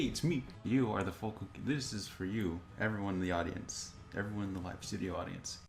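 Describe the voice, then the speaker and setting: A man with a low voice full of fry reads aloud in a casual, joking way. He teases and trips over names, sounding goofy. Game music plays clearly behind him. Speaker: co-host reading wiki entries aloud. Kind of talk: casual, jokey reading aloud and banter. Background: video game music.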